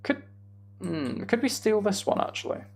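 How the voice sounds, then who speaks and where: Casual gravelly male game streamer. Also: high-pitched, very animated, normal speed, soft background music. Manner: muttering to himself while working things out. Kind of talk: casual live gameplay commentary, thinking aloud.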